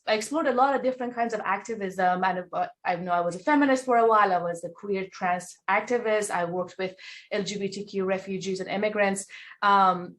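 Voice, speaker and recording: A woman's voice: a little high, fairly bright tone. A woman from Iran speaking earnestly and thoughtfully on a panel as a nonprofit co-founder. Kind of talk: panel talk.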